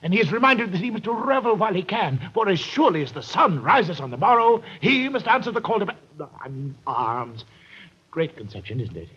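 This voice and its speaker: Grandiose, querulous older artist: high husky voice, swooping delivery, muffled vintage radio drama. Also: male voice, big pitch swings, brisk, a bit bright, heavily acted. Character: fretful, pompous older male painter, theatrical, secretive. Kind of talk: 1940s radio acting. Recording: dull, muffled old radio recording.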